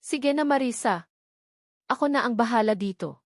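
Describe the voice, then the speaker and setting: A woman's voice: on the high side, lively intonation, normal speed, no room sound, clean studio sound. A Filipino woman narrator playing a kind, confident young mistress of a mansion, friendly and casually commanding. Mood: friendly, decisive.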